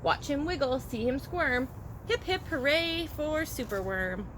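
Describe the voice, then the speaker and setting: A woman reading a children's picture book aloud as a childcare storyteller, expressive and rhythmic with the rhymes. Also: high-pitched, a little slow. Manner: expressive, rhythmic rhyming delivery. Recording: faint background noise.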